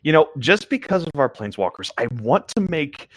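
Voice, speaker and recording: A man talking in an animated, informal way in a casual chat. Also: fairly high pitch, brisk, dry recording.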